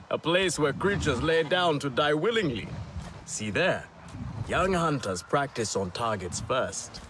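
An actor playing a boastful, impatient alien hunter in a video game, proud and grandiose. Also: male voice, medium pitch, noisy background. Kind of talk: video game cutscene acting. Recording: voice over prominent game music. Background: music.

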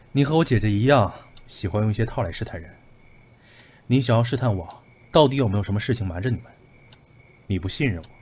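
A man's voice: lowish voice, a little mellow, breathy edge. An actor playing a guarded, self-assured man with secrets in a Chinese audio drama. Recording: faint background noise.

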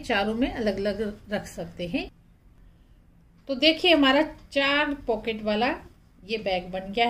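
A woman hosting a craft tutorial, narrating step by step in a plain, instructional manner. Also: a little high.

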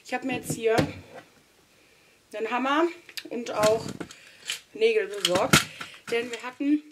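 A woman's voice: fairly high pitch, slight background noise. A young woman vlogging as she tidies a small storage room at home, explaining each step in a chatty way and laughing often, recorded by a wide-angle camera on a stand. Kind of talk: casual clean-with-me narration.

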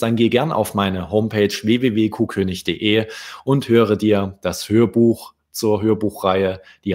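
A young man from Germany telling stories to children in a deliberate manner, as an author hosting his readings. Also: on the low side, darkish tone. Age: young adult. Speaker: children's author, channel host. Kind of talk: storytelling for children. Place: small indoor room. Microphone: close desk microphone with pop shield.

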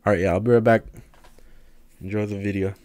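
A man's voice: fairly low pitch, fairly even intonation, a little fast, darkish tone. A young man casually commenting on a game as he plays it, his voice worn from having recently lost it. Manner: casual, rambling, talks to chat while playing. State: recovering from lost voice. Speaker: gaming streamer.

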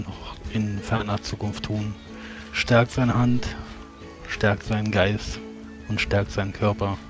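A man playing a devout, courteous adventurer in a tabletop game, with a formal, solemn way of speaking. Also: fairly low pitch. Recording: clear background music.

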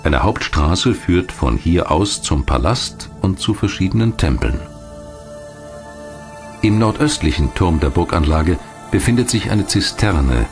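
A man reading scripted documentary narration in a measured, factual manner. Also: low voice.

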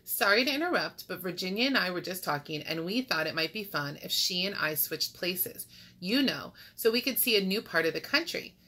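A woman's voice: lowish voice, expressive, fairly quick, bright, forceful tone. A woman voicing a very polite, apologetic character in a children's storybook, with a tentative manner. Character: very polite male state Idaho, apologetic suggester. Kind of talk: children's storybook read-aloud.

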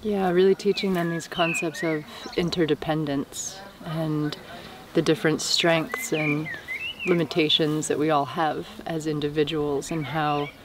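Low-voiced young woman from the United States. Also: little pitch movement. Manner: earnest, passionate, measured. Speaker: herbalist and permaculture teacher. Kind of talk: casual interview talk. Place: outdoors in a dry rural field. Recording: outdoor countryside noise. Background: birds in the countryside.

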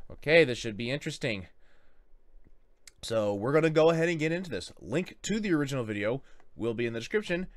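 A man in his twenties hosting a reaction video, talking casually and haltingly into a close desk microphone in a bedroom. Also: mid-range voice, dry recording. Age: 20s. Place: bedroom, indoors. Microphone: close desk microphone with pop filter, headset on.

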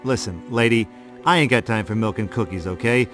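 An actor playing a cocky, sarcastic reluctant hero with an American accent in a video game, brash and deadpan. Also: male voice, on the low side, fairly quick, over music, lightly acted. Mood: sarcastic, irritated.

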